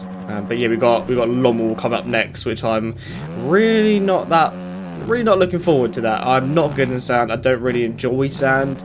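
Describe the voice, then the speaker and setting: A British man with a blocked nose from hay fever, casually rambling through gameplay commentary with lots of fillers and self-corrections. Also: mid-range voice. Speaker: gaming YouTuber. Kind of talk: casual commentary while playing. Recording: game engine noise behind. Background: motorbike game engine sounds.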